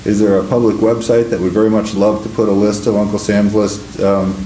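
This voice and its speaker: A man's voice: fairly low pitch, little pitch movement, fairly quick, mellow, a little hiss or hum. A man presenting at a government conference, answering audience questions with many fillers and restarts. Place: conference room. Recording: echoey room.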